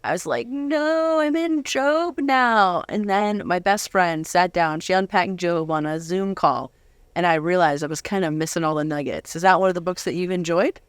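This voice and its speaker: Clear-voiced woman hosting an interview. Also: lowish voice, normal speed, dry recording. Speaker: interviewer, host. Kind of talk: casual conversational interview.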